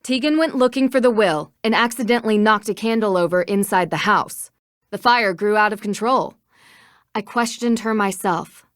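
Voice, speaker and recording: A woman's voice: mid-range voice, normal speed, fairly bright tone, dry recording, clean studio sound, close to an everyday voice. An actress playing a determined, forthright young woman detective in a mystery video game, speaking firmly and probingly. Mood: earnest, firm. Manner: firm, probing, accusatory.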